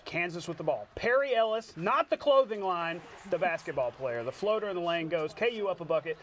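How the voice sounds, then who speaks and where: A man with an American accent anchoring a sportscast, calling highlights with playful, jokey asides. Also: animated, a little fast, a bit bright, a little hiss or hum. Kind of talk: highlight commentary and studio banter. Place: TV studio.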